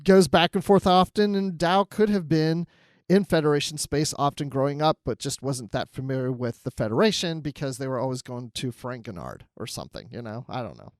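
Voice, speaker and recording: A man's voice: medium pitch, a little fast, no room sound, studio quality. A man co-hosting a podcast, chatting casually about a TV show and wondering aloud, often quoting his own thoughts. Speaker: podcast co-host.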